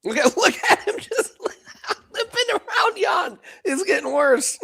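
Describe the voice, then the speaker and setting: An American man co-hosting a live darts watch-along, his voice lively and full of swings. There's a gravelly edge to it, and he chuckles as he calls each score. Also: extremely high pitch, takes it slowly. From United States. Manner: laughs often, wry, calls scores play by play. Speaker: co-host calling darts bets.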